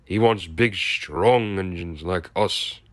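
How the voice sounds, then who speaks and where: A man's voice: sits low, takes it slowly, dry recording. A man voicing big, boastful, taunting steam engines in a children's storybook. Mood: smug taunting.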